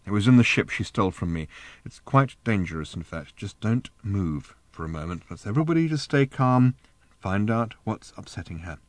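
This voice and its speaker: A man voicing a cool, wary male traveller in a story, speaking quietly in a measured, understated way. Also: on the low side, expressive, no echo, close to an everyday voice. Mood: wary calm.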